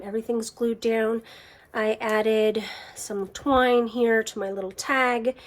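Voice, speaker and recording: A middle-aged woman with a stuffy cold, chatting casually and rambling a bit as she narrates her scrapbooking. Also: a little high. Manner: chatty, rambling, self-correcting. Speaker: scrapbooking channel host. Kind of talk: casual craft process chat.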